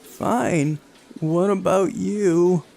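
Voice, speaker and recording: A man's voice: high-pitched, steady tone, extremely slow, gravelly, a little mellow, pitched above the performer's own voice, heavily acted. A man voicing a giggly, nosy teenage boy in a visual novel read-aloud. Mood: chirpy.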